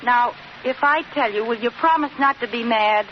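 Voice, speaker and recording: A woman's voice: fairly high pitch, moderate pace, close to an everyday voice. An actress playing a scheming, wheedling, melodramatic married woman in an old radio situation comedy, with a theatrical, pleading manner. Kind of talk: 1940s-50s radio situation comedy acting. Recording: muffled, very noisy old radio broadcast.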